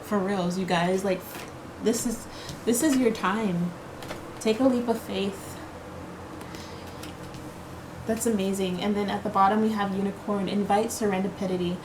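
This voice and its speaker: A woman reads tarot cards for her viewers in a casual, reassuring ramble, leaning on fillers and "okay?" tags. Her pitch sits in an ordinary middle range. There is clear background noise behind her voice. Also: steady tone, moderate pace. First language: English. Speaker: tarot card reader.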